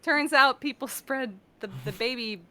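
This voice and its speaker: A woman chatting casually and playfully as a guest on a livestream, quick to laugh. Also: high-pitched, sharp tone, slight background noise. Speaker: guest, voice actor and audio director.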